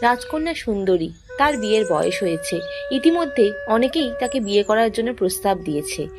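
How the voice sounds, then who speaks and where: A woman's voice: mid-range voice, animated, normal speed. A woman narrating a fairy tale from a script as a storyteller, with music behind her. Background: background music.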